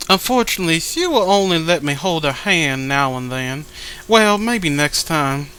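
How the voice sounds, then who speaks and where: A lonely, earnest comic-book sweetheart of a guy, acted out by a man, gushing in a high, clear voice. He lingers on his words with lovesick sincerity. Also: expressive, normal speed, pushed and bright. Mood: wistful, adoring. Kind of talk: dramatized comic reading.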